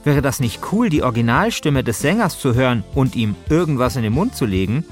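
A man's voice: medium pitch, animated, a little fast, soft background music. A man reading colloquial scripted narration as the narrator of a podcast.

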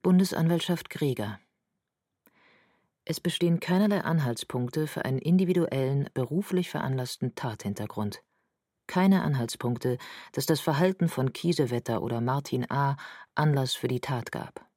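A man's voice: medium pitch, normal speed, a little mellow, no echo, lightly acted. A male federal prosecutor , played by an actor, speaks in a dry recording. He is formal and firm, delivering court protocol for a documentary radio drama.

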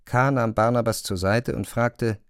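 A man narrating a literary radio play from a script in a measured storytelling manner. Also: mid-range voice, steady tone, dry recording, studio quality. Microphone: close studio microphone.

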